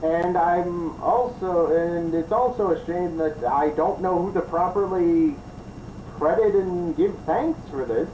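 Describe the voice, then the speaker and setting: A man's voice: sits high, a little slow, a little mellow. A man hosting a review show, giving improvised commentary. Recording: roomy, distant mic, clearly noisy.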